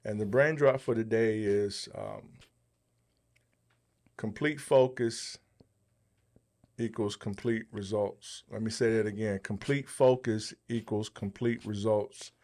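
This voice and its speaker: A man's voice: on the low side, slow pace, a little mellow. Man hosting a motivational podcast segment. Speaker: podcast host, motivational speaker.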